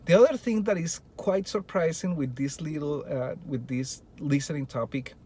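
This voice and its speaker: A man's voice: on the high side, normal speed, mellow, breathy edge. A middle-aged man with a Colombian Spanish accent, a native Spanish speaker and a Spanish teacher, talking earnestly to fellow teachers and asking a lot of rhetorical questions. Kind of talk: presentation to teachers.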